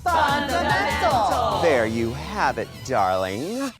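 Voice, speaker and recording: A man doing a campy, drawn-out high announcer voice for a segment jingle over music. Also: wildly swooping pitch, full character voice. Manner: sing-song, drawn-out and exaggerated. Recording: jingle with loud music and crowd.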